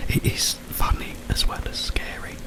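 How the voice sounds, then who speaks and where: A man speaking in a breathy, unvoiced whisper, rambling informally and soothingly in an ASMR style. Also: normal speed, low-quality recording. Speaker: ASMR video creator and host. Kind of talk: whispered ASMR ramble retelling a film plot.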